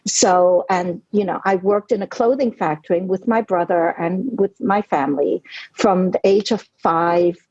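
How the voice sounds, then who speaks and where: A woman's voice: on the low side, measured pace, darkish tone, no echo. A woman in her forties, born in Hong Kong and raised in New York City, a novelist telling her story in an earnest, reflective podcast interview, often saying "you know". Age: 40s. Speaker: novelist guest. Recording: dry, dull lo-fi audio.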